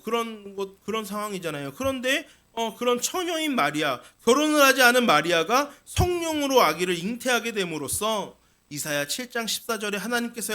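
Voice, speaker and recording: A man's voice: sits high, pushed and bright. A man preaching a sermon as a pastor, explaining scripture with rhetorical questions and repeated key phrases.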